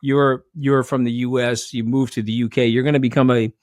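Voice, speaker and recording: Joking middle-aged interview host. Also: male voice, medium pitch, flat delivery, brisk, dry recording, clean studio sound. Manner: blunt, joking, informal banter. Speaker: podcast host. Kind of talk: casual interview questions.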